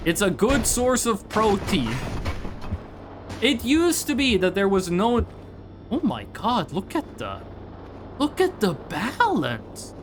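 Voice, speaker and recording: A man's voice: high voice, wildly swooping pitch, slow pace, long pauses, background noise. A young man from northern Norway, chatting casually on a live stream while gaming, rambling and restarting his sentences. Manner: rambling, restarts sentences, reads chat aloud.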